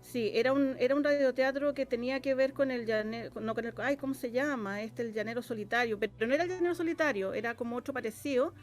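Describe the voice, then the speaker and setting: A woman's voice: fairly high pitch, fast, hardly any pauses, faint music behind. Hesitant middle-aged Chilean woman. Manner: hesitant, searching, repeating herself. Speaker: interview guest, scriptwriter. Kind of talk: casual conversation.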